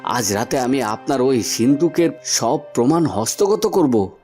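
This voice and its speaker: A man playing a brave, vengeful young cowherd hero in a fairy-tale cartoon, speaking deliberately and with quiet menace. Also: mid-range voice, sing-song, soft background music. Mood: secretly resolute.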